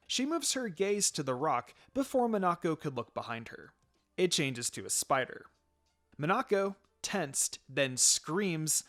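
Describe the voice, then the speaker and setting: A man's voice: a little high, a bit bright. A man reading fan fiction aloud as a dramatic story narrator.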